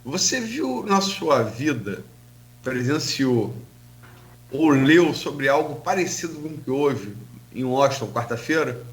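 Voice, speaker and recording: A man's voice: medium pitch, sing-song, takes it slowly. A man with a Brazilian Portuguese accent hosting a radio interview, asking his questions courteously in a conversational way. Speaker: radio host.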